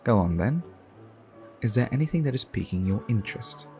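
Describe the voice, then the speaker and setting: A man's voice: mid-range voice, expressive, a little mellow, lightly acted. A man playing a suave, brooding vampire artist in an intimate ASMR roleplay, speaking formally and theatrically as he tells stories to the listener. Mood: courteous, inviting. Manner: slow, formal, theatrical storytelling. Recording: music behind the voice. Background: background music.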